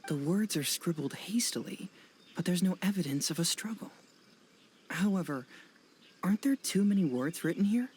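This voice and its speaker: Calm, analytical young detective. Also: male voice, sits high, a little hiss or hum. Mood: pondering. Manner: measured, deliberate, reasoning aloud. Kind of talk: video game cutscene acting.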